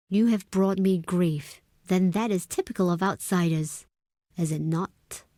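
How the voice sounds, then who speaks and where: Woman acting a low-voiced, proud desert tribeswoman. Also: a little mellow, dry recording, clean studio sound, close to an everyday voice. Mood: sorrowful, bitter. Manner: solemn, formal, imperious. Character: proud, stern desert tribe woman, second-in-command, wary of outsiders. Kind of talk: dramatic video game character acting.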